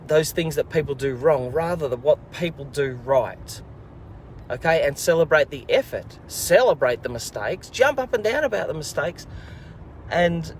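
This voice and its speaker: A man's voice: fairly high pitch, animated, moderate pace, a little hiss or hum. A man, hosting a children's learning program and chatting casually to camera in an upbeat, rambling, encouraging way.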